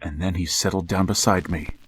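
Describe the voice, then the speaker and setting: An actor playing an aging father in a wheelchair, narrating a radio horror drama in a slow, halting, hushed manner. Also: low-pitched, steady tone, a little hiss or hum. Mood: haunted. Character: older man father in a wheelchair, haunted, resigned narrator. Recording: music bed under the voice.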